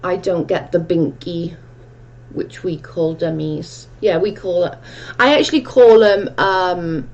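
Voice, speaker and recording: A woman's voice: on the low side, slow pace, darkish tone, muffled recording. A woman with a strong Welsh accent hosting a casual livestream chat, reading viewer comments aloud and answering them in a chatty, rambling way. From Wales. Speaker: livestream host.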